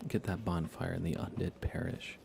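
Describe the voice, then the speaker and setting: Low, gravelly man streaming softly. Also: normal speed, slight background noise. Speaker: video game streamer. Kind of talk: soft-spoken ASMR live game commentary.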